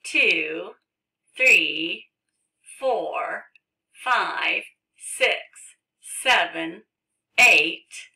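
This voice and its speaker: A woman teacher on a video call, slowly counting aloud for young children in an online math lesson. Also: fairly low pitch, creaky, sharp tone, distant mic.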